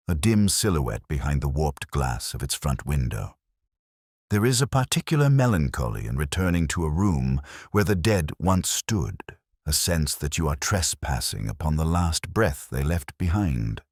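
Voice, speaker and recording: A man playing a melancholy older detective inspector, narrating case notes in a measured, reflective, literary way. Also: very deep voice, a little mellow, breathy edge, no echo, clean studio sound, full character voice. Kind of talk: scripted first-person literary narration.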